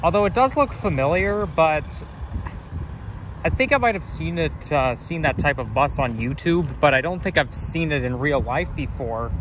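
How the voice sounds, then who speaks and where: Male walking vlogger, slightly high voice; rambling, self-correcting chatter, outdoor street noise behind. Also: expressive, normal speed. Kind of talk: casual walking vlog commentary. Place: outdoors on city sidewalks near traffic.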